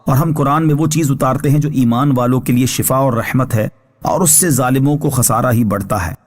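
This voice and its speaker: A man giving formal religious commentary as a scholar, reading prepared scripture translation and explanation in a measured, instructive way. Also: mid-range voice. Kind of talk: religious exegesis, read from a prepared text.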